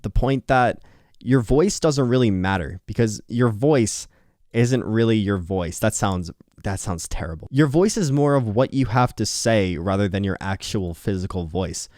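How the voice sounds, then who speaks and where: A man's voice: on the low side, animated, moderate pace, darkish tone, dry recording, clean studio sound. A tired young man recording a casual late-night talk, rambling and candid, swearing and laughing now and then, with a slightly nasal voice. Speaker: YouTuber. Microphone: earphones on, close mic.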